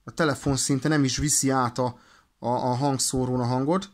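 A man explains things in an informal, halting way, thinking aloud as he presents a hands-on demonstration. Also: mid-range voice, fairly even intonation. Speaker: presenter of a radio equipment demonstration. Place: indoors at a desk.

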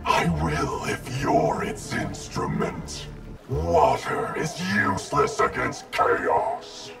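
A man voicing a cold, arrogant wraith ninja clan leader in a video game, gravelly, haughty and threatening. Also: fairly low pitch, huge pitch swings, slow pace, full character voice. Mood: menacing disdain. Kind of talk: video game character dialogue. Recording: music behind the voice.

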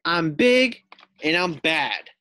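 Storybook guinea pig hero played by a man, small, boastful, excitable, pitched up and swinging widely. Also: takes it slowly, no echo, studio quality, heavily acted. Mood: bold, threatening. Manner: exclamatory, swaggering. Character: boastful little male guinea pig hero, easily alarmed. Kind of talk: children's storybook read-aloud.

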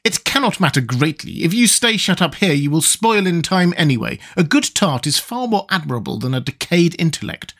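Man playing a high-voiced, courteous tin man. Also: sing-song, fairly quick, few pauses, a bit bright, exaggerated voice. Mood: calm, reasonable. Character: courteous, reasonable, gentlemanly man made of tin. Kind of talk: children's storybook character voice.

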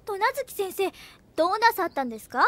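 A woman's voice: very high-pitched, very animated, a little fast, full character voice. A woman voicing a polite, oblivious young schoolteacher with a mild but insistent manner in anime comedy. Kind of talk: anime comedy audio drama acting. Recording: loud music behind the voice.

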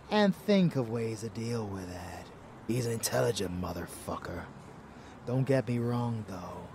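A man's voice: lowish voice, noisy background. A man playing a cocky, murderous vampire lover in a roleplay audio drama, intimate, drawling and menacing, doting on the listener.

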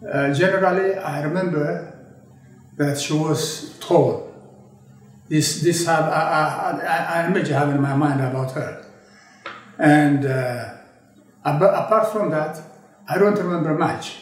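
Slow, reflective man in his eighties. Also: a little high. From Ethiopia. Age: 80s. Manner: slow, measured, reflective, with pauses and restarts. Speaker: interviewee recalling his childhood. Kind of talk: oral history interview. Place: indoor studio with a draped backdrop. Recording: very echoey room, voice from a distance.

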